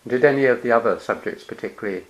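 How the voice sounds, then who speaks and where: A man interviews a guest in a mid-range voice. He asks his questions gently, often murmuring agreement and laughing softly while the guest talks. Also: medium pitch, very fast, low-quality recording. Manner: gentle prompting with murmured "hmm" and soft laughs.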